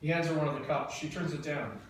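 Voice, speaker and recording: A man reading screenplay stage directions in a steady, plain delivery. His voice sits a little high and sounds distant, bathed in heavy room echo with light hiss. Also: fast talker. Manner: plain, even reading with small stumbles. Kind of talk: reading screenplay action lines. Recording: echoey room, mic from afar, faint noise.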